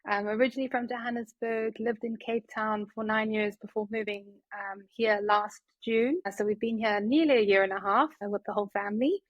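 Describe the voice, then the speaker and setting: A woman's voice: medium pitch, fairly even intonation, a little fast, hardly any pauses, no echo, dull audio. South African woman in her 30s, chatty interview guest, loose and conversational, dry close sound. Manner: chatty, many fillers and restarts. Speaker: guest doctor. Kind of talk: casual interview talk.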